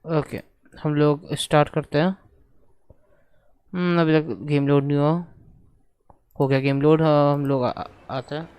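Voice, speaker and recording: A man's voice: on the high side, steady tone, very fast, long pauses, clear, resonant tone, no echo. A man with an Indian accent, a native Hindi speaker, giving casual let's-play commentary. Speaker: gaming streamer. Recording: game music loud behind voice. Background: video game music.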